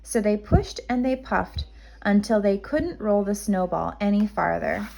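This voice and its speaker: Female kindergarten storyteller, expressive, slow and measured, medium pitch. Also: creaky, poor audio. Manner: measured, simple delivery for young children. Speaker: kindergarten teacher reading aloud. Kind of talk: expressive children's storybook narration.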